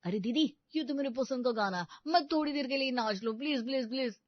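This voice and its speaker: A man's voice: extremely high pitch, fast, no echo. Casting: man as pliable young cartoon groom, whiny and pleading, dance-mad; dry, slightly dull recording. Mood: whiny, begging. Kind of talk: animated Hindi comedy story dialogue. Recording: dull, lo-fi studio voice-over.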